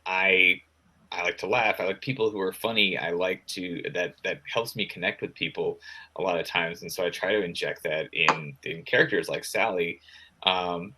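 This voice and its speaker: Deep, very sharp-toned man, halting speaker. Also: slightly rough, rough recording. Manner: halting, thinking aloud in broken phrases. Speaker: guest author. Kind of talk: casual discussion of writing craft.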